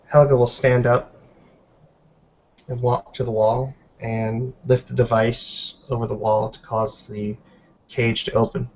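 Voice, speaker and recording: A man runs a tabletop roleplay game as its narrator. He speaks slowly and deliberately with little pitch movement and long pauses. The sound is slightly dull, like an online call. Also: level tone. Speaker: game master. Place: online group video call.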